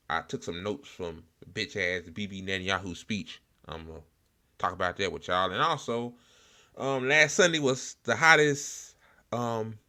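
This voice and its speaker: Male American host, low forceful voice, informal halting political commentary. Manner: informal, hesitant, halting delivery with blunt slang. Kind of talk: casual unscripted political commentary.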